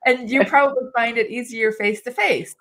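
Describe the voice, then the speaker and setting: A woman giving HR advice in a live webinar discussion, talking in an animated way, acting out quoted dialogue and laughing often. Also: fairly high pitch, brisk. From English-speaking country. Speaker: HR expert guest.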